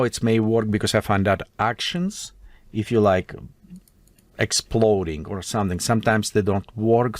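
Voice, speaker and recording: A man's voice: lowish voice, no echo. Russian-accented man typing through a tutorial. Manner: thinks aloud while typing, with broken non-native grammar. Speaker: tutorial host. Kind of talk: screen-recorded software tutorial.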